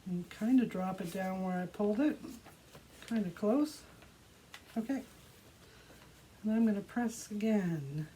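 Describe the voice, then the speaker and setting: A woman's voice: mid-range voice, warm tone, poor audio. A woman hosting an art tutorial, chatting casually and narrating each step as she works. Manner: unhurried step-by-step narration of own actions. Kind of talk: casual craft demonstration, talking while working. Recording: clearly audible background noise.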